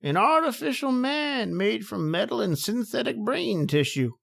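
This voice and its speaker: A man's voice: sits high, sing-song, moderate pace, vocal fry, a bit bright, full character voice. A man playing a genial, proud old inventor professor in a radio drama, theatrical and avuncular. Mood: proud, expansive.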